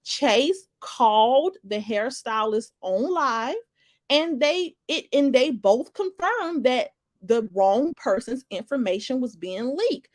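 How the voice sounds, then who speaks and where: A woman talks to her live viewers with a slightly high voice. She rambles emphatically and restarts her sentences often. The sound is dry and close, with no room echo. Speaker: livestream commentator. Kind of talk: casual live commentary, greeting viewers in chat.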